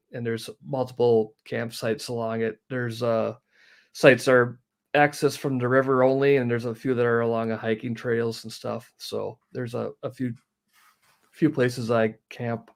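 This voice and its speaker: Man, medium pitch, practical low-key explainer, casual interview guest. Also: gravelly. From northern Wisconsin, United States. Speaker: guest, outdoor amateur radio operator. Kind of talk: casual live stream interview.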